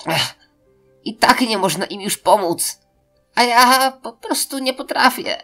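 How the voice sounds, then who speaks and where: A woman's voice: fairly high pitch, lively intonation, a little slow, fairly bright tone, soft background music. A woman playing a distressed, desperate doctor in a horror audio drama, breathless and whimpering.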